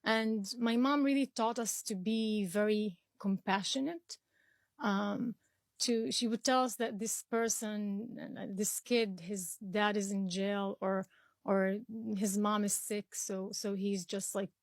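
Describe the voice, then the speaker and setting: A woman's voice: medium pitch, fairly even intonation, slow, no room sound. A young woman from Israel whose first language is Arabic, answering interview questions earnestly with frequent restarts and fillers. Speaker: interview guest.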